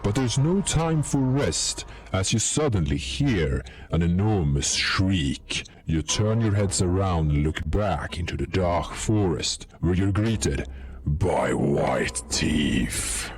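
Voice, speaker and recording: A man reading scripted dark fantasy narration as a storyteller, in an ominous, measured manner. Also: low voice, expressive, slight background noise. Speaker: storyteller and game master.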